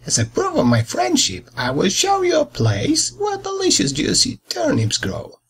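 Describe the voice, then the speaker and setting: A man voicing a boastful, sly, greedy big bad wolf in a storybook tale, raspy and theatrical. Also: very high-pitched, huge pitch swings, normal speed, a bit bright, a little hiss or hum, pitched above the performer's own voice, exaggerated voice. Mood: falsely sweet. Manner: scheming, theatrical.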